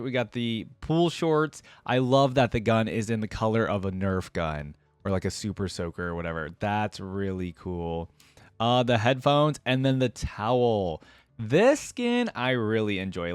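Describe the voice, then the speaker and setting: Male reaction host, fairly low register, very lively intonation, casual and exclamatory, dry recording. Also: no room sound. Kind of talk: casual reaction commentary on game skins.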